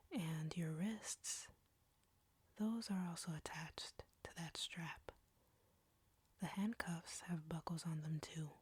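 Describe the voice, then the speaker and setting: Whispering, taunting vampire woman, acted by a woman, low and slow. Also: darkish tone, no room sound, exaggerated voice. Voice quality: breathy, whispery. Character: arrogant, flirty female vampire captor, teasing and domineering. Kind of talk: intimate whispered audio roleplay.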